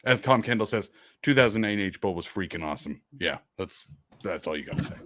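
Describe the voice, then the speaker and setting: A man's voice: deep voice, lively intonation, brisk, a bit bright, no room sound, studio quality. A man co-hosting a casual sports podcast, with a powerful voice that carries. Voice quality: powerful, projecting voice. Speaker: podcast co-host.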